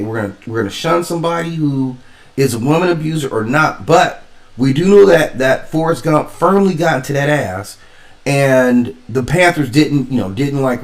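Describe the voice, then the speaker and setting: A man giving casual spoken commentary as a stream host, rambling and emphatic as he thinks aloud. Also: fairly high pitch. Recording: roomy echo, distant from mic.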